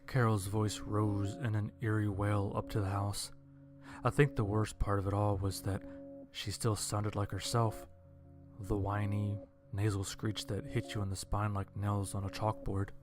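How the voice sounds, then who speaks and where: Male narrator, horror-comedy storytelling, lowish pitch, flat dry delivery, faint music behind. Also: measured pace. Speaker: first-person narrator.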